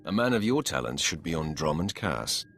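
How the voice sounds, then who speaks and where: Extremely deep, gravelly dark lord. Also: male voice, big pitch swings, normal speed, soft background music, heavily acted. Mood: commanding. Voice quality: gravelly, husky. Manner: haughty, commanding. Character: imperious dark Sith lord, cold, commanding, disdainful. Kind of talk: video game character dialogue.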